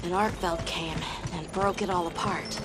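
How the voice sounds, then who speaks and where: A woman's voice: fairly low pitch, steady tone, moderate pace, close to an everyday voice. An actress voicing an earnest young woman companion in a video game cutscene, speaking in a heartfelt, reflective way. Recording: game audio with loud music.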